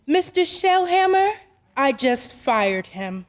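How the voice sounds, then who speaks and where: A woman's voice: sits high, very animated, slow, full character voice. A woman playing an earnest, anxious store executive in old-time radio drama style. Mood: agitated.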